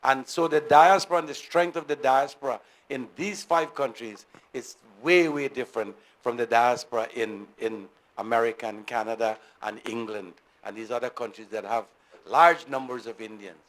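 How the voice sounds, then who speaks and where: A man's voice: medium pitch, normal speed, few pauses, a bit bright, rough recording. A middle-aged man from Guyana giving a formal, earnest speech to an audience and repeating key phrases for emphasis. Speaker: guest speaker.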